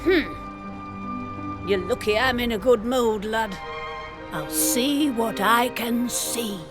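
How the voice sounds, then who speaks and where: A woman's voice: a little high, expressive, slow, over music. A woman voicing a spirited, blunt and cheeky village medicine woman in a video game, with a Northern English accent and a theatrical, folksy delivery. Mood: wry, teasing.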